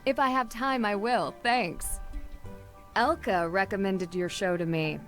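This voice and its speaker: An actress playing a polite, curious young female detective in a friendly, measured way. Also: mid-range voice, fairly bright tone, faint music behind, natural voice.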